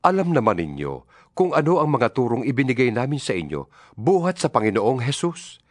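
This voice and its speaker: A man's voice: mid-range voice, big pitch swings, normal speed, no room sound, clean studio sound. A man playing an earnest, fatherly apostle exhorting his flock in a dramatized Bible reading. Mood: solemn, exhorting.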